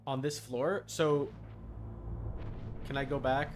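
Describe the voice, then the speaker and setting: A man's voice: medium pitch, fairly even intonation, slow pace. Rambling male streamer thinking aloud. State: tired. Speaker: streamer, VTuber. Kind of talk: casual live game stream commentary.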